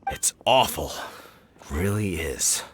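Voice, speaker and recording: An actor playing a worried, mild older male villager with a very husky voice in a video game. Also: sits low, very animated, slow pace, noisy background, full character voice. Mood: worried, weary.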